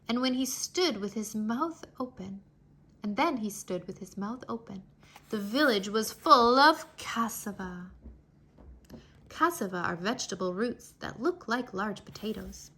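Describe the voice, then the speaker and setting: A woman reading a children's story aloud with expressive, dramatic storytelling. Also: mid-range voice, unhurried, husky. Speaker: children's read-aloud narrator.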